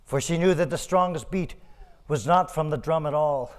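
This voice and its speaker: A man's voice: a little high, moderate pace. A man speaking to an audience as a live storyteller and performer. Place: community hall performance.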